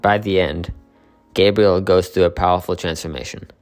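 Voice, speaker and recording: A man giving scripted narration for a literary video essay in a steady, explanatory manner. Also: sits low, normal speed, soft background music.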